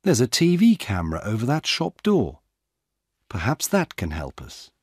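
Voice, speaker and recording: A man's voice: mid-range voice, wildly swooping pitch, normal speed, breathy edge, no echo, clean studio sound. A man voicing an alert, observant woman police officer in a storybook, speaking emphatically as if pointing things out. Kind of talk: audiobook character dialogue.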